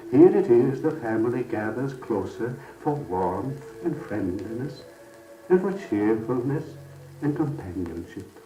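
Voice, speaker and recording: A man narrating an old-time radio family drama in a solemn, lyrical, measured and theatrical style, lingering on his phrases. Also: fairly low pitch, mellow, a little hiss or hum. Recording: old 78rpm radio record, roomy, distant, music.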